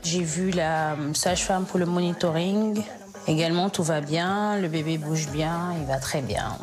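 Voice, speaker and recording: Calm woman from Ivory Coast, slightly low. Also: a little slow, music in the background. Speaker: expectant mother. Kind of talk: casual chat and interview.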